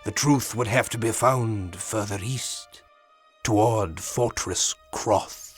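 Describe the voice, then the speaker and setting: An actor playing a solemn storyteller, reading scripted narration for a fantasy video game epic. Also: male voice, on the low side, takes it slowly, soft background music.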